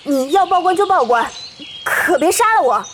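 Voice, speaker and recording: A high, swooping voice for a cocky, indignant young thief played by a woman in a martial-arts radio play. The delivery is slow and theatrical, full of grumbling and protest, over clearly noisy outdoor ambience with birds. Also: lively intonation. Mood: pleading. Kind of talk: Chinese wuxia audio drama acting.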